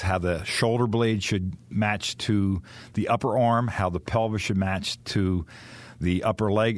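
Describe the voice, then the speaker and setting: Guy with a lowish voice talking dog training, earnest and detailed, dry close mic. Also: dry recording. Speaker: dog trainer, kennel owner. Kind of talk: radio interview guest.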